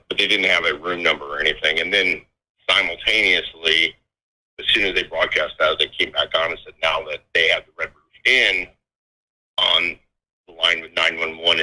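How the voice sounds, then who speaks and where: A low-voiced man with a very sharp, forward tone gives an informal account of what he has heard. He talks haltingly, restarting and repeating phrases as he goes. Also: low-quality recording. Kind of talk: informal spoken account of scanner reports.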